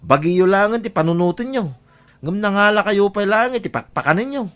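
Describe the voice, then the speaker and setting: A man acts as a grown son at the end of his patience in an audio drama. His pitch rises high and swings widely as he nags and scolds. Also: expressive, brisk, fairly bright tone, clear, resonant tone. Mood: scolding, indignant. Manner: scolding, nagging, exasperated. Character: exasperated grown son scolding his overly generous father.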